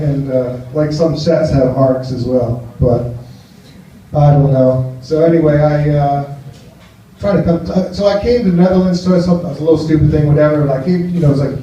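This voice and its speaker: A man's voice: fairly high pitch, mellow, a little hiss or hum. An American man in his fifties doing a rambling, self-deprecating stand-up set and chatting with the crowd in a small cafe. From United States. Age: 50s. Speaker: stand-up comedian. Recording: distant, roomy, muffled live cafe recording.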